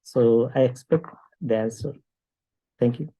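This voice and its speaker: A man from Nepal with a Nepali accent, speaking off the cuff in a discussion in a halting, hesitant way with frequent pauses. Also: mid-range voice, dark tone, dry recording, poor audio. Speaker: discussion participant. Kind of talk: unscripted discussion remarks.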